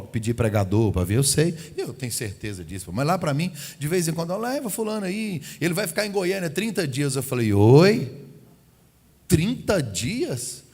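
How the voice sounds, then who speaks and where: A man's voice: mid-range voice, a little mellow. 40s guy from goiás preaching, jokey, acts out little dialogues, long echo in the church. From Goiás, Brazil. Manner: chatty and humorous, acting out quoted dialogue. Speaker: visiting pastor. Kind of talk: family service sermon. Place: church sanctuary. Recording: long hall reverb in a church.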